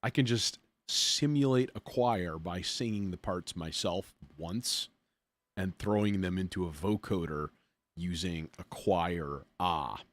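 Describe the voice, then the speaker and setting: Man, deep-pitched voice, casual livestream host, music producer, thinking aloud, informal, self-deprecating muttering. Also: slow.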